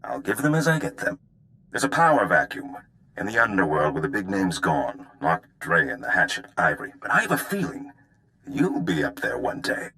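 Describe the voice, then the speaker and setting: An actor playing a sly, easygoing underworld operative giving briefings in a video game. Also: male voice, low voice, wildly swooping pitch, moderate pace, bright, forceful tone, heavily acted, rough recording. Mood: sly, encouraging.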